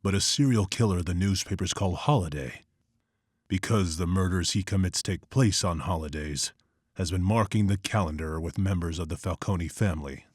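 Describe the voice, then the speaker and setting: A very deep, husky male voice, close and dry. He is a grim masked vigilante in an animated comic drama, narrating in terse, measured, somber phrases. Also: no room sound. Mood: grim, brooding.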